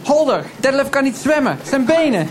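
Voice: sits high, very animated, moderate pace, a crowd in the background. A performer playing a panicked, pleading colleague in a radio crime drama, speaking in broken bursts. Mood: alarmed, worried. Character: panicked, pleading younger colleague reluctant to abandon someone.

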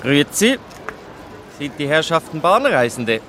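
An actor playing an unmoved, sardonic police officer with a dry, formal manner in an audio drama. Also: male voice, a little high, big pitch swings, measured pace, pushed and bright, full character voice. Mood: politely official. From Switzerland. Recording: noisy outdoor ambience.

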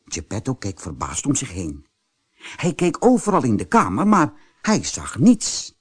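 Male audiobook storyteller, children's fairy tale, very animated intonation, unhurried, husky. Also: medium pitch. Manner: expressive storytelling. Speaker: audiobook narrator.

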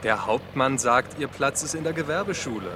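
An actor playing a curt, resigned army sergeant with a blunt manner in a radio drama. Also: male voice, medium pitch, animated, moderate pace, noisy background, natural voice. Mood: resigned, wry.

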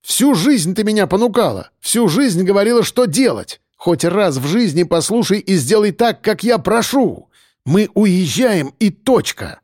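A man's voice: fairly high pitch, very animated, a little mellow, dry recording, clean studio sound. A man playing a harried grown son in an audiobook story, insistent and impatient with his mother. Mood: angry outburst. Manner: hurried, breathy exhaling, insistent.

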